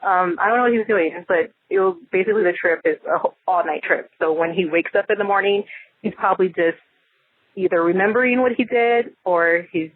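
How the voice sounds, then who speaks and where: A woman's voice: fairly low pitch, a little fast. A woman leaving a rambling voicemail for a podcast, musing and often unsure of herself. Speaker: listener leaving a voice message. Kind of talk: phone voicemail. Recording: tinny phone line.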